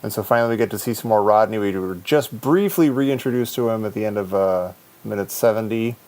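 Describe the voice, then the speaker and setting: A man's voice: lowish voice, a little fast, darkish tone. A man hosting a casual podcast chat, upbeat, laughing often and stumbling over his words. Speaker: podcast host.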